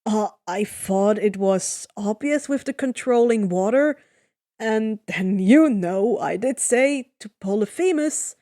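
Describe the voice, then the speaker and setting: A woman's voice: mid-range voice, lively intonation, slow pace, warm tone, no room sound, studio quality. A woman voicing a cheeky, upbeat teenage boy demigod who talks eagerly and jokes around, in a fan fiction reading. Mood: joking. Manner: eager, joking, chatty.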